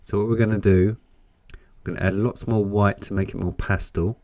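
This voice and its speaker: Croaky man with a cold teaching painting. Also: steady tone, brisk, darkish tone, dry recording. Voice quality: croaky, deep. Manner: chatty, encouraging, thinks aloud while painting. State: hoarse with a cold. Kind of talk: step-by-step painting tutorial.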